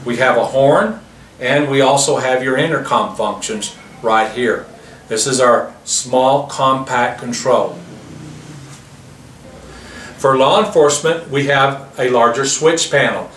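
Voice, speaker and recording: Man, product demo presenter, bright-toned voice, recorded from a distance with faint hiss. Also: medium pitch. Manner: plain explanatory, walks through features step by step. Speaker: product demonstrator. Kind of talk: product demonstration. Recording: roomy, distant mic with faint noise.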